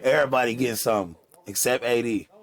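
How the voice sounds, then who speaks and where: A man's voice: mid-range voice, fairly even intonation, fast talker. A man giving casual, amused reaction commentary as a video host, slangy and repetitive. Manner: amused, slangy, repeats catchphrases.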